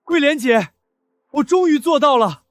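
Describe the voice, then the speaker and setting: An actor playing an earnest, kind-hearted young village healer, scripted and sincere, tripping over words when flustered. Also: male voice, a little high, sing-song, fast talker, warm tone, no echo. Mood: excited, flustered. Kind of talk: scripted drama acting.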